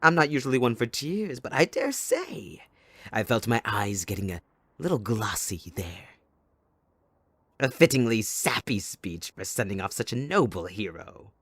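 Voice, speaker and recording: A sadistic, theatrical supervillain in an audio roleplay, recorded close and dry. His medium-pitched voice swoops widely from hushed menace to outbursts. He taunts with mock sweetness, possessive and unhinged. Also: sing-song, measured pace, husky, no room sound, heavily acted. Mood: mock-mournful, taunting. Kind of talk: dramatic audio roleplay monologue.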